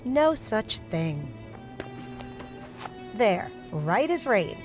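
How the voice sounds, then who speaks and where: A woman's voice: medium pitch, big pitch swings, very slow, music in the background. An actress playing a candid, wry woman in a secret romance, understated and direct, in an audio drama. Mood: wry, teasing. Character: wry, candid woman, patient but wounded secret lover. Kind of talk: naturalistic audio drama acting.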